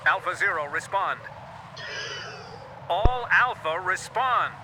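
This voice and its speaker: A male military controller, works the radio. His voice is on the high side for a man, clipped, commanding and businesslike. The transmission is clearly noisy. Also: lively intonation, slow. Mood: urgent. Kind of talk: scripted video game voice acting.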